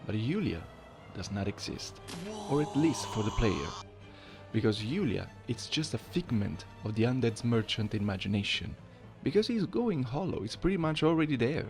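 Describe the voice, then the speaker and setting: A man's voice: medium pitch, lively intonation, moderate pace, music in the background. A man hosting a gaming channel, talking in a casual video essay style. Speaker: gaming channel host, amateur voice impersonator.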